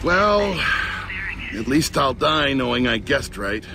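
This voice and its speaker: A wisecracking, sarcastic soldier. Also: male voice, mid-range voice, sing-song, slow pace, creaky, noisy background, exaggerated voice. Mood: sardonic. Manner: sarcastic, swears a lot. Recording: game audio with music.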